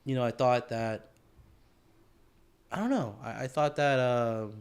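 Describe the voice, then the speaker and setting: A man co-hosting a podcast, thinking out loud about himself. His voice sits at an ordinary middle pitch, and he circles back and restarts his sentences often in a loose, reflective way. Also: a little slow, smooth. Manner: reflective, rambling, many restarts and fillers. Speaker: podcast co-host. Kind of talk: casual chat.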